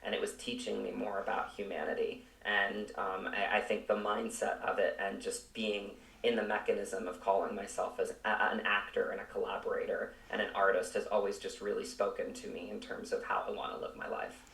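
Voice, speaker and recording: Low-voiced man in an echoey room. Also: flat delivery, brisk, gravelly, hardly any pauses, fairly bright tone. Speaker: podcast guest, actor. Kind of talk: reflective interview talk. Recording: roomy echo, distant from the mic.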